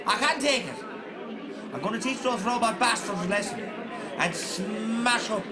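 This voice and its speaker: A man's voice: high voice, measured pace. A man playing a hot-headed factory worker in a radio play, rowdy and emphatic. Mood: outraged. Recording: loud crowd around the voice.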